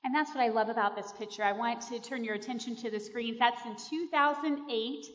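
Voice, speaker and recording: A woman's voice: on the high side, fairly quick, fairly bright tone. An earnest, encouraging woman speaking as a host, introducing and interviewing a friend before an audience at a church event. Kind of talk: warm-up address and guided testimony interview to an audience. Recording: muffled, echoey large hall.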